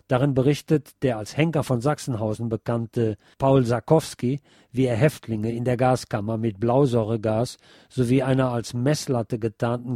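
A man with a dark, mellow voice narrates as a radio host. The sound is dry and close, and his scripted delivery is measured and deliberate. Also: mid-range voice, no echo.

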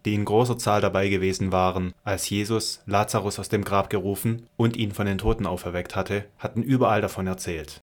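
A male narrator with a very deep voice reads a scripted Gospel drama. His storytelling is solemn and measured.

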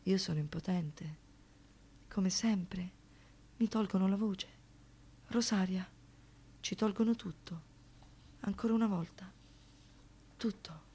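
An actress playing an anguished, defiant survivor in a theatrical radio monologue, moving between hushed murmurs and impassioned outbursts. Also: female voice, medium pitch, takes it slowly, long pauses, husky. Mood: bitter, broken resignation. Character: anguished, defiant woman, survivor of a brutal assault.

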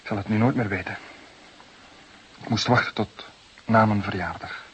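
A man's voice: on the low side, steady tone, fairly bright tone, highs cut off, natural voice. Hissy recording, actor as nervy young male suspect. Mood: subdued, guarded. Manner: nervy, halting, defensive. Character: desperate, defensive young male murder suspect, about twenty. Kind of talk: Dutch crime drama acting, interrogation. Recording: clearly hissy background noise.

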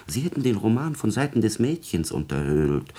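An actor playing a servile, scheming court secretary in a classical radio drama, insinuating and calculating in a formal, obsequious manner. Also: male voice, fairly low pitch, very animated, normal speed, warm tone, breathy edge. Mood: smoothly persuasive.

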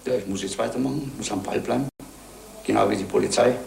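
A man giving terse, measured answers to an interviewer, sometimes repeating the question. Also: fairly low pitch, creaky, a little mellow. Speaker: dentist. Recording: echoey, slightly noisy film soundtrack.

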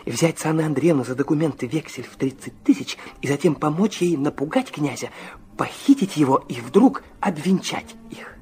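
A man's voice: a little high, animated, moderate pace, mellow, natural voice. An actor playing an intense, naive young man in a radio drama, confessional and emotional in his delivery. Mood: brooding narration. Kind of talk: Russian radio drama acting with narration.

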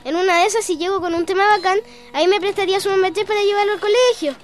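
A woman's voice: very high voice, fairly even intonation, racing. A woman playing a cheeky but sensible young schoolchild with a Chilean accent in an educational radio drama. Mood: lively.

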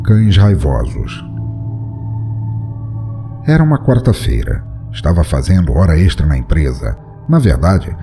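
A man hosting an audio drama podcast and narrating a horror story in an expressive, dramatic reading style. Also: sits low.

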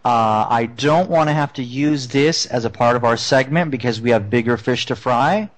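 A man's voice: medium pitch, normal speed, lightly acted. A man playing a stern, coercive television sting producer in a mock-official prank phone call. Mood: stern, threatening. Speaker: prank caller.

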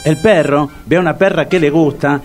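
A man's voice: fairly high pitch, fairly quick, over music, close to an everyday voice. An actor playing a naive, rambling man sweating in his heavy overcoat, with an Argentine Rioplatense accent.

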